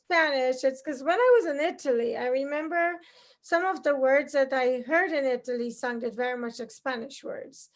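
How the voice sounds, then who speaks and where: Woman, high voice, interviewer, casual conversation, chatty and complimentary. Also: normal speed. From Guyana.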